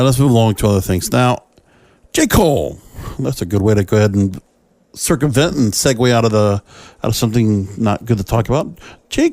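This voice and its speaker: A male podcast host delivers solo commentary in a mid-pitched voice. He speaks in short, halting phrases, and the sound is dry with very little room around it. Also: darkish tone, dry recording.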